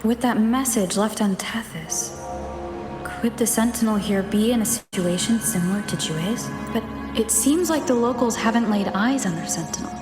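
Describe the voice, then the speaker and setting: A woman's voice: mid-range voice, normal speed, close to an everyday voice. A woman voicing a composed, thoughtful young heroine in a video game, reasoning in a reflective way. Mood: pensive. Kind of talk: game voice acting. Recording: reverberant echoing voice over music.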